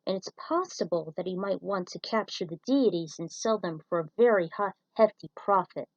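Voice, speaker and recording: A woman's voice: fairly low pitch, moderate pace, dry recording, distant mic, dull audio. Lady reading as a no-nonsense female space pilot, stern and explainy, story dialogue, dry sound. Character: firm, knowing woman pilot briefing her cadets.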